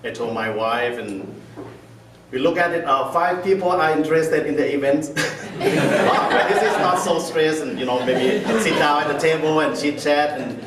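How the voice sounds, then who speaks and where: A man's voice: a little high, a little slow. A man from northern Thailand with a Thai accent gives an informal, self-deprecating and humorous talk to a small group. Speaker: guest speaker, restaurant owner. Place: indoor meetup room. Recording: echoey room, distant mic, faint noise.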